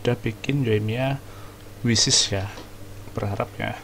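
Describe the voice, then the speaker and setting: A man's voice: fairly low pitch, steady tone, measured pace, vocal fry, noisy background. A man giving casual, slangy commentary as he hosts a game playthrough. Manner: informal, slangy. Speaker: gaming video host. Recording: game music behind the voice.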